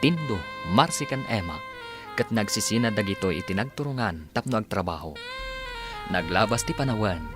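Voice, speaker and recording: A man announcing and narrating a radio drama serial in a scripted, theatrical style with drawn-out emphasis. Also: on the low side, expressive. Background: music.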